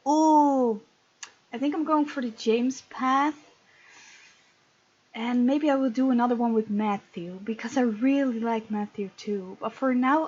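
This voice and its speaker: A woman with a fairly high voice hosts a let's play of a story game. She reads aloud and drops in casual, chatty comments. The microphone sound is somewhat dull. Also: darkish tone, husky. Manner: chatty asides while reading. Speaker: gaming video host.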